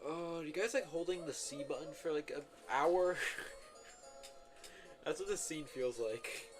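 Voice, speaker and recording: A man's voice: fairly high pitch, unhurried. A man streaming a video game, giving casual, rambling commentary full of filler words and self-corrections. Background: game music.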